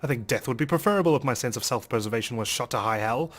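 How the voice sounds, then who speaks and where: Expressive male let's player over game music. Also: mid-range voice, racing. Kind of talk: dramatic read-aloud of a visual novel.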